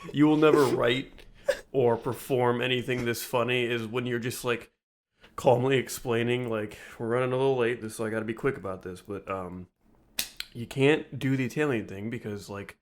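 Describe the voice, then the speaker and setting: A man's voice: medium pitch, normal speed, a little hiss or hum. A man co-hosting a comedy podcast in casual chat, riffing in a dry, mock-serious way. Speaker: podcast co-host.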